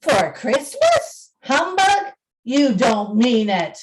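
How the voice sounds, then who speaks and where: A woman playing a cheerful, warm-hearted young nephew in a radio play, hearty and earnest. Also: fairly high pitch, big pitch swings, extremely slow, exaggerated voice, rough recording.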